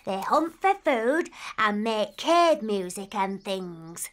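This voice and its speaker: Bright, wilful little princess. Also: female voice, medium pitch, sing-song, slight background noise. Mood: wilful, defiant. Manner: emphatic, drawn-out childish declarations. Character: bold, wilful, excitable little cartoon princess.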